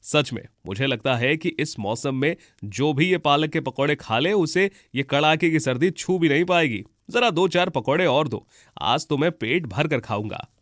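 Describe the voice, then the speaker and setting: A man's voice: a little high, animated, moderate pace, a bit bright, no echo, clean studio sound. A man playing a cheerful, hungry woodcutter husband in a children's cartoon. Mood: contented. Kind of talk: Hindi children's cartoon voice acting.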